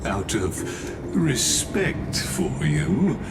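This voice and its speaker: Deep, unhurried courtly game diplomat. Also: male voice, wildly swooping pitch, very noisy, heavily acted. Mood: calm, diplomatic. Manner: formal, measured, diplomatic, veiled menace. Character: composed, courtly male lord and neutral political broker. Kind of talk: video game dramatic voice acting.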